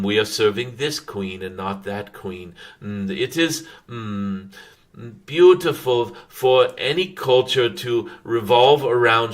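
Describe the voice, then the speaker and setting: A man channeling a wise, benevolent dragon spirit in a spiritual message, speaking in formal, measured phrases broken by thoughtful hums. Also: lowish voice, a bit bright. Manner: formal, archaic-tinged phrasing. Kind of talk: channeled spiritual message, slow unscripted address to listeners.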